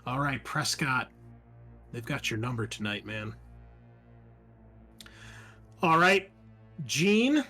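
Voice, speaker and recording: A man's voice: medium pitch, sing-song, slow pace, long pauses, pushed and bright. A man working as game master, narrating a tabletop role-playing game in a conversational way and thinking aloud as he makes rulings. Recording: music playing under the voice. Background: game background music.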